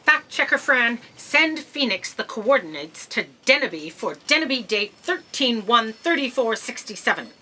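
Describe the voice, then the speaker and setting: Woman acting high, bright, authoritative lady boss. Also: very animated, normal speed, few pauses, heavily acted, poor audio. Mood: stern, lofty. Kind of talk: audio drama acting.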